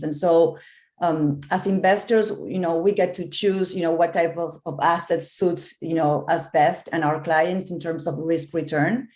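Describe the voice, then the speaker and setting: A woman's voice: lowish voice, echoey room. Woman from Argentina on a video call. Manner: explanatory, steady with fillers. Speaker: panelist, investment partner. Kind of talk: conference panel remarks. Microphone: joining remotely by video call.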